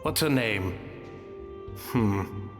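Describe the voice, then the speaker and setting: A man voicing a skeptical, musing restaurant patron in a video game, with a deliberate delivery. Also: lowish voice, expressive. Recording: long reverb, music behind.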